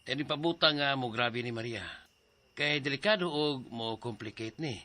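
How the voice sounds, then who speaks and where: Man as a matter-of-fact doctor in a radio drama, bright forceful voice. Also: mid-range voice, moderate pace, natural voice. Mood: explaining. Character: calm male doctor giving diagnosis.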